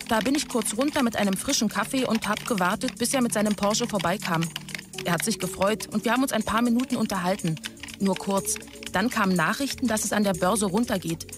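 An actress playing a dutiful, devoted office assistant dictating polite, businesslike messages. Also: female voice, medium pitch, close to an everyday voice.

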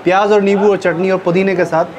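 A man's voice: high voice, level tone, quick pace, crowd noise. Chatty middle-aged man food vlogging. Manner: enthusiastic, chatty, tasting and commenting. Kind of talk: casual food vlog. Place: small indoor eatery. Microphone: lapel mic. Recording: background music under voice.

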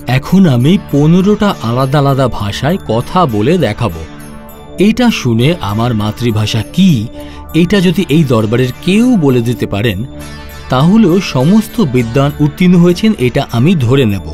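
A man's voice: mid-range voice, big pitch swings, unhurried, a little mellow. A man voicing a proud, courteous scholar in a cartoon, speaking in formal courtly address. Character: proud learned male visiting scholar, confident and courteous. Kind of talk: theatrical cartoon dubbing. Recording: faint background music.

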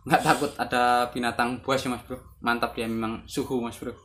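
A man's voice: mid-range voice, little pitch movement, moderate pace. A young man in his twenties giving casual, laid-back reaction commentary in a small room, close to a phone camera, constantly addressing his viewers as bro. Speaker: reaction video host. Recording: loud background noise.

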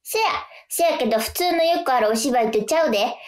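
A woman's voice: sits high, animated, brisk. A woman acting a cheeky, chatty fifth-grade schoolgirl with a Kansai accent in a radio drama. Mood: chatty, earnest.

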